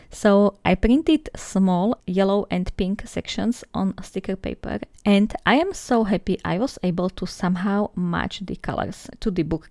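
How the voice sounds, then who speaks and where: A woman's voice: mid-range voice, warm tone, no echo, studio quality. A young woman with a Slovak accent, a native Slovak speaker, chatting casually in voice-over as a book vlogger, laughing often and poking fun at herself.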